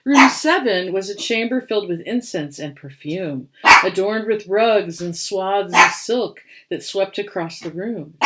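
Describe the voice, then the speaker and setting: A woman giving a dramatic reading of a children's storybook in a theatrical, expressive manner. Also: medium pitch, very noisy.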